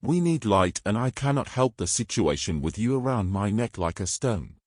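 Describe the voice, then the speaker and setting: A British man playing a bold, gruff, teasing knight in a fantasy audio drama, with a wry, brash manner and old-fashioned turns of phrase. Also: lowish voice, lively intonation, normal speed, no echo, studio quality. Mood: coaxing, determined.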